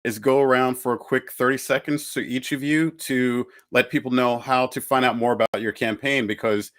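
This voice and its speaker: A man's voice: medium pitch, fairly even intonation, moderate pace. A man moderating a live roundtable on a video call, openly emotional and encouraging toward the guests. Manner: warm-hearted, emotional, encouraging.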